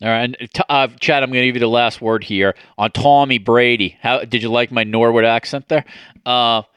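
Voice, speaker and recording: A man's voice: medium pitch, fairly even intonation, normal speed, fairly bright tone, clean tone, no echo, studio quality. A man hosting a casual sports podcast, rambling with many fillers. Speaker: podcast host.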